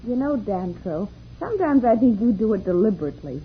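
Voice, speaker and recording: A woman's voice: mid-range voice, very animated, a little fast, breathy edge. A woman playing an eager, inquisitive, slangy youngster in an old radio serial. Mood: eager, curious. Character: eager, curious youngster on a space tour. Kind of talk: 1950s radio serial acting. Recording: tinny old radio recording.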